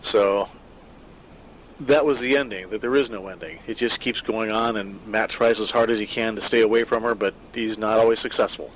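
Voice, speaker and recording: A man's voice: lowish voice, steady tone, normal speed, slight background noise, low-quality recording. A middle-aged man talking casually and thoughtfully about a story he wrote, with frequent fillers. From Southern California. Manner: reflective, conversational, loose with fillers. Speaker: author discussing his own story. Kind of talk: casual spoken afterword.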